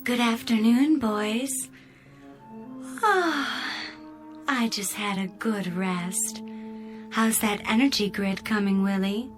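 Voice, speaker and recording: A woman's voice: fairly high pitch, slow, long pauses, faint music behind. An actress playing a sweet, needy woman's voice left alone aboard a spaceship in a radio drama, coaxing and mildly scolding. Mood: coaxing, plaintive.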